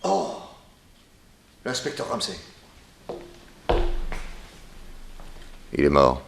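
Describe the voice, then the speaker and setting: An actor playing a brisk, commanding male private detective in a 1960s French radio crime serial. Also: extremely low pitch, very animated, creaky, very noisy, heavily acted. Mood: wary, alarmed. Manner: urgent, clipped questioning, reassuring orders.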